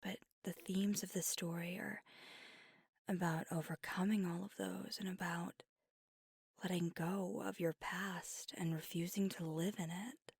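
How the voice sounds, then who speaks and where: A woman's voice: lowish voice, level tone, unhurried, no echo, exaggerated voice. A woman playing a whispery bookish vampire. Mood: reflective. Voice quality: soft whispery, breathy. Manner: thoughtful, gently teasing. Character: flirty bookish female vampire on a date. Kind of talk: ASMR roleplay. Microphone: close mic. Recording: dry close-mic ASMR.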